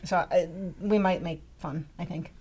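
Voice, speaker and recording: A woman's voice: fairly low pitch, moderate pace, warm tone, husky. A woman in her fifties co-hosting a casual chat, laughing often and steering the talk with questions. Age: 50s. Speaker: co-host.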